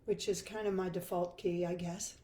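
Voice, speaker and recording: An older woman with a hoarse, froggy voice, teaching a chatty guitar lesson live from her home music room. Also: fairly low pitch, steady tone, normal speed, mellow, reverberant. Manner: chatty, self-deprecating, laughs easily. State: hoarse from laryngitis.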